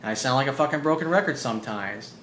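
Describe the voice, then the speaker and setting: Blunt, profane man in an echoey room. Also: fast. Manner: blunt and profane, animated, repeats key points. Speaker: dating coach answering listener emails. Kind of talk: casual advice monologue reading out emails. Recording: roomy echo with faint low rumble.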